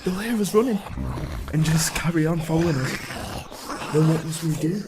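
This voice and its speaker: A woman plays a timid, selfless rabbit in a fantasy radio play. The voice is low and urgent, with faint background noise. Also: normal speed, close to an everyday voice. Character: timid, self-sacrificing rabbit, slow and loyal. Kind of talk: amateur fantasy radio drama acting. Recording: noisy ambience behind the voice.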